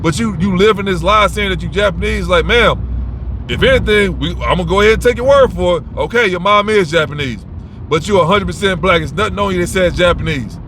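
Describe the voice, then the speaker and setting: Guy ranting advice outside, kinda high bright voice, cusses a lot, keeps restarting, faint cars in the back. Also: fairly quick, slight background noise. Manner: blunt, rambling, profane, with many restarts. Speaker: host giving advice. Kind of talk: casual unscripted monologue.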